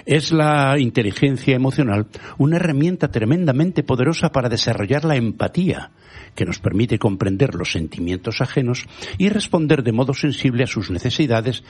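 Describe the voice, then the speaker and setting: A man whose first language is Galician, hosting a radio show with a measured, rhetorical scripted editorial. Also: medium pitch, a little mellow, husky. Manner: measured, rhetorical, quotes proverbs and thinkers.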